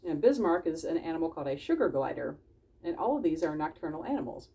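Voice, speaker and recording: A woman's voice: sits low, big pitch swings, fast talker, mellow, breathy edge. Woman reading stories aloud, slightly distant. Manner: engaging listeners with questions, explaining simply. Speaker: library storytime reader. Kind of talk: animated children's storybook read-aloud with asides.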